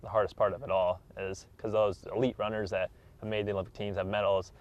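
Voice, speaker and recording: A man's voice: on the low side, level tone, fast, lo-fi sound. A young American man in his twenties, an athlete giving a casual interview in an earnest, modest way. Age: 20s. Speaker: track athlete being interviewed.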